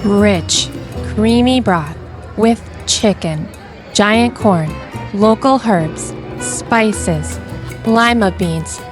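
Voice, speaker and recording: A woman's voice: medium pitch, extremely slow. A woman narrating a travel vlog in an upbeat, scripted tour-guide voice-over. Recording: voice-over with music behind.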